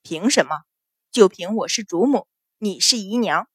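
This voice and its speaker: A woman's voice: medium pitch, expressive, normal speed, slightly rough, dry recording, clean studio sound. A woman voicing a cold, wrathful matriarch of a great household, commanding and sneering, in a storybook drama.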